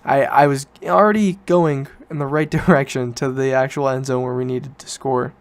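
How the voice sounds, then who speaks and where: A young man in his late teens talks as if hosting his own podcast. His voice is clean and smooth, sitting at an average male pitch. He tells things in a loose, informal way, doubling back to correct himself as he goes. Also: steady tone, moderate pace. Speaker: podcast host. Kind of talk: casual solo podcast chat, rambling storytelling.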